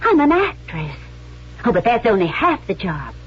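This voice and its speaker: A cunning, determined female spy in an old radio drama. Her voice is fairly high and a little husky, speaking with persuasive resolve on a muffled vintage recording. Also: wildly swooping pitch, normal speed, full character voice. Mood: determined, scheming. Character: bold, cunning female actress turned Civil War spy.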